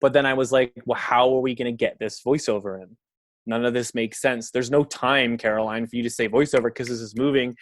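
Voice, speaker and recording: A man's voice: medium pitch, steady tone, fairly quick, no room sound, clean studio sound. A man, a filmmaker from Canada, talking casually on a podcast in a rambling way, full of fillers and the odd swear word. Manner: rambling, heavy on fillers and profanity. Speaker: filmmaker guest.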